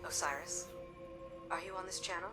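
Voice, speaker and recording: A woman's voice: fairly low pitch, fairly even intonation, normal speed, distant mic, lightly acted. An actress playing a stoic, loyal woman soldier in a video game, speaking in a restrained, earnest, self-doubting way. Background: music.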